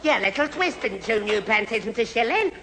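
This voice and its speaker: A man's voice: high-pitched, big pitch swings, moderate pace, pushed and bright, some chatter behind, heavily acted. A man playing a daft, flustered young man, stammering and dithering in a 1970s radio sitcom. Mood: puzzled. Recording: old radio recording, faint hiss.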